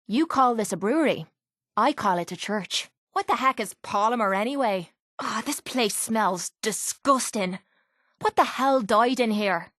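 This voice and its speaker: A woman's voice: mid-range voice, a bit bright, dry recording, clean studio sound, natural voice. A woman playing a brash, foul-mouthed young Irish brawler in a video game, blunt and sardonic but vulnerable underneath, with an Irish accent. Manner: blunt, gruff, sardonic, informal. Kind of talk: video game companion voice lines.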